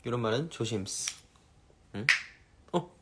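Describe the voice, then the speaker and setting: A man's voice: medium pitch, expressive, measured pace, heavy background noise. A young man in his twenties, chatting playfully and giggling on a casual live stream.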